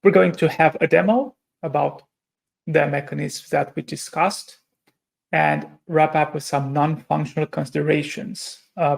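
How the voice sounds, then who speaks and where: A man's voice: on the high side, vocal fry. A man from Romania, giving a technical conference presentation and explaining step by step with frequent hesitations and self-corrections. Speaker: conference speaker.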